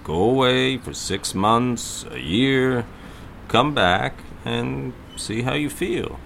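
A man playing a gentle, concerned middle-aged father in an audio drama, speaking in a halting, thoughtful way. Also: medium pitch, expressive, gravelly, slight background noise, exaggerated voice. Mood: earnest, urging.

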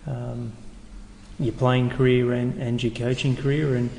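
A man interviewing a former coach, asking halting questions full of fillers. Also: medium pitch, little pitch movement, vocal fry, mellow. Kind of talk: oral history interview questions. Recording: long reverb, faint background noise.

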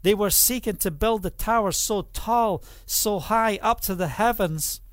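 Scottish man preaching, higher voice, close dry sound, middle-aged, asks and answers his own questions. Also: a little slow, dry recording. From Scotland. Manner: reads scripture aloud then explains it. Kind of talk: sermon.

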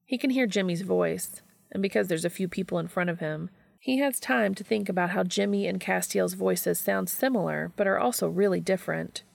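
A woman's voice: fairly low pitch, normal speed, creaky, dry recording. A woman reading fiction aloud in a narrated audiobook style, moving between narration and character dialogue. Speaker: fan fiction reader.

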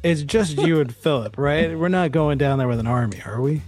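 A man's voice: on the high side, moderate pace, over music. A man running a tabletop roleplaying game with blunt, sweary, mock-exasperated banter. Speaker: game master.

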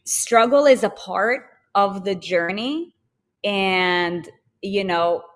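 A woman from Israel, a podcast guest talking in animated, emphatic bursts full of fillers. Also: slow. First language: Hebrew. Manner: animated and emphatic, with sudden jumps in pitch and filler-heavy bursts. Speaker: podcast guest, fitness business co-founder. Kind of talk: casual interview chat.

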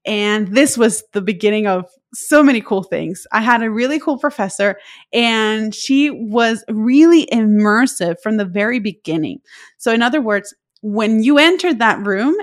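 A woman's voice: on the high side, expressive, moderate pace. A young adult woman from Puerto Rico, a native Spanish speaker, telling her own language-learning story in a reflective solo podcast. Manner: reflective, storytelling with personal anecdotes. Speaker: podcast host.

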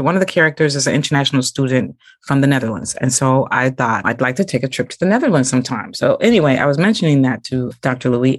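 A woman's voice: very deep voice, no room sound, studio quality. A woman hosting a podcast interview, reading a guest introduction and then chatting, laughing at her own slips. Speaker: podcast host.

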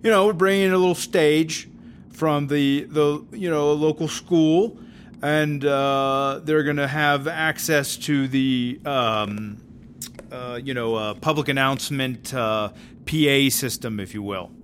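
A man playing a middle-aged, folksy prison warden who talks haltingly, clearing his throat in an audio drama interview. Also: mid-range voice, a little hiss or hum, natural voice. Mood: hesitant, folksy. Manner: hesitant, halting, full of ums and throat-clearing. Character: aging, folksy, awkward male prison warden.

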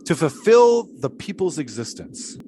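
A man's voice: a little high, big pitch swings, a little slow, warm tone, slight background noise. Pompous, swooping philosophical showman. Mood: lofty, explaining. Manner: pompous, wordy, musing, theatrical. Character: philosophical, grandiloquent 1920s flagpole-sitting showman. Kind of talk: audio drama acting.

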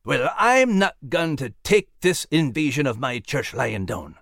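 A woman's voice: extremely low pitch, big pitch swings, unhurried, creaky, no room sound, studio quality, voice pushed down, exaggerated voice. A woman playing an indignant, pompous male clergyman in a historical children's story, arguing in a huffy manner. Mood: huffy, threatening. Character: indignant, pompous colonial Anglican clergyman uncle.

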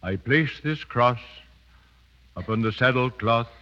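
An actor playing a dignified middle-aged British colonel in a 1930s radio drama, formal and ceremonial. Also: male voice, mid-range voice, unhurried, bright, forceful tone. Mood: solemn. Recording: dull 1930s radio broadcast recording.

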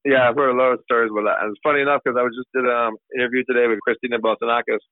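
A man's voice: mid-range voice, little pitch movement, racing, no echo. A man in his thirties, a racehorse trainer, answering interview questions in a casual way with many restarts. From Barbados. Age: 30s. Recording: tinny phone line, dry.

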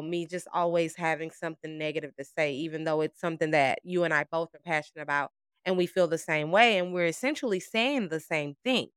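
A woman's voice: low voice, fairly bright tone, clean tone, no room sound, clean studio sound. A woman co-hosting a podcast, talking candidly about work and acting out remembered exchanges. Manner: candid, storytelling with quoted dialogue. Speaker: podcast co-host. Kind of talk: casual conversation.

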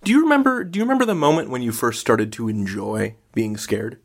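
Male host, animated pitch, conversational questions, halting repeated starts. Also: creaky. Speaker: podcast host, interviewer.

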